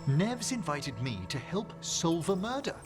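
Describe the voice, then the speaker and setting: A scholarly necromancer in a fantasy video game. He is courteous and eager, with formal, theatrical phrasing and music clearly behind him. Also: high-pitched, big pitch swings, measured pace. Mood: excited. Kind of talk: dramatic game acting.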